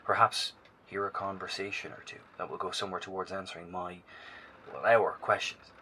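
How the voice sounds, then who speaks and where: A man's voice: low-pitched, fairly even intonation, fairly quick, sharp tone. A man acting in an audio drama as a determined, risk-taking scout reporting over a two-way radio. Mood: focused, cautious. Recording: noisy radio link with ambience.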